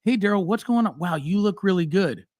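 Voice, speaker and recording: A middle-aged man hosts a casual live stream, talking bluntly and with plenty of animation, throwing in fillers as he goes. His voice sits a little high for a man. The sound is dry and close. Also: expressive, fairly quick, a little mellow, dry recording. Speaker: host.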